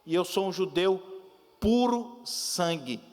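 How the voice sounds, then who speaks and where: A preacher voicing a proud, zealous apostle. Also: male voice, high-pitched, animated, takes it slowly, darkish tone, long reverb tail. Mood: earnest. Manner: emphatic, indignant rhetorical questions. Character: proud zealous ancient male apostle defending himself.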